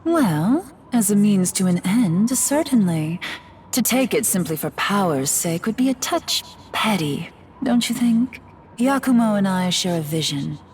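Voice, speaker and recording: An actress voicing an elegant, scheming fallen goddess in a video game, with a refined, condescending manner. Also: female voice, medium pitch, lively intonation, unhurried, darkish tone, slight background noise, close to an everyday voice. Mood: musing. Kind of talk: video game cutscene voice acting. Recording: game audio with music behind.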